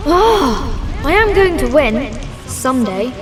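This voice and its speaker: A little girl in a game, eager and competitive. The voice is extremely high and swoops about with spirit, over prominent music. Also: very animated, takes it slowly, heavily acted. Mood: frustrated, determined. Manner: spirited, determined. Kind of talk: dramatic voice acting in a video game.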